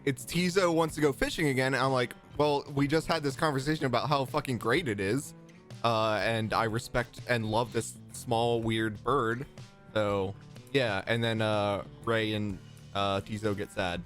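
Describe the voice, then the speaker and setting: Medium-pitched man chatting about games. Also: steady tone, moderate pace, fairly bright tone, faint music behind. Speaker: podcast co-host. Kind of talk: casual chat about a video game.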